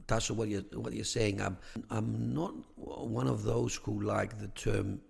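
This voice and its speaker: A man, a theology scholar on a podcast, talking through ideas in a measured, searching way with plenty of restarts. His voice has a gravelly edge. The room adds a long reverb tail. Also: on the low side, little pitch movement, a little mellow. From Greece. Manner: thoughtful, many restarts and "you know" fillers, rising emphasis on key points. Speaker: podcast guest, theology academic. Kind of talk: conversational explanation.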